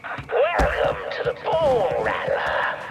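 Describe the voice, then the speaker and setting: Slow, wildly theatrical haunted-ride narrator. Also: male voice, high-pitched, wildly swooping pitch, a loud crowd, heavily acted. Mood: ominous, dramatic. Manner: slow, dramatic, showman-like delivery. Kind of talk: spooky amusement-ride storytelling narration. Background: loud music.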